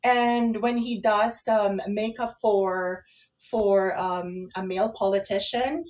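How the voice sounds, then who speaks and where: A woman with a mid-pitched voice co-hosts a casual online talk show. She speaks conversationally, explaining and offering advice to her audience. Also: fairly even intonation, slow, a little mellow. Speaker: co-host and makeup artist.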